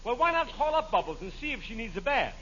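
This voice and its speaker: An American announcer in a 1940s radio comedy pushes his product with exaggerated earnestness. His pitch is extremely high and swoops around in a lively way. The old recording is buried in loud noise. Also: quick pace. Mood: gushing salesman. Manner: exaggerated salesman delivery. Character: mock-earnest male radio announcer hawking bubble bath. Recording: muffled, very noisy vintage radio broadcast.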